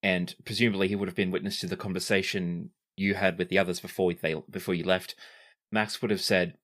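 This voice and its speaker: A man's voice: sits low, flat delivery, fairly quick, a bit bright. A man narrating a tabletop game as the game master.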